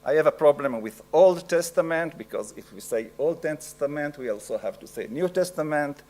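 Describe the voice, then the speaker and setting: A middle-aged man with an Italian accent giving an academic lecture, slow and halting with frequent pauses. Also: fairly high pitch, expressive, a little mellow.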